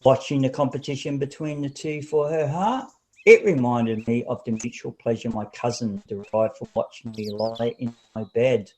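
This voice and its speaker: A man reading a story aloud as an audiobook narrator, in a deliberate, expressive storybook manner. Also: mid-range voice, poor audio.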